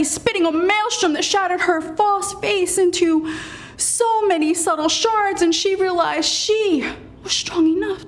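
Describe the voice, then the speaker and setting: A woman performing spoken-word poetry as a poet, in a solemn, deliberate manner with weighted pauses and dramatic delivery. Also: very high voice.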